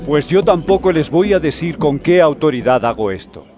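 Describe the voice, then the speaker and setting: Casting: male actor for firm holy teacher, higher-voiced and lively in inflection, solemn declaiming, dramatized Bible audio. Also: moderate pace, lightly acted. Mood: firm, challenging. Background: music.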